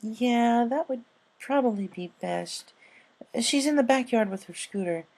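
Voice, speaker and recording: A man voicing a kindly, concerned motherly pegasus mare with a careful, gentle manner in a story reading. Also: extremely high pitch, lively intonation, a little slow, creaky, voice pushed up, full character voice. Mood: gently cautious.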